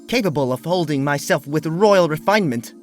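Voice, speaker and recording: A haughty royal pony princess, played by a man, speaks in a raised pitch. She is imperious and easily huffed, declaring herself formally as storybook royalty, with music playing behind. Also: lively intonation, a little fast, lightly acted. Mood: proud.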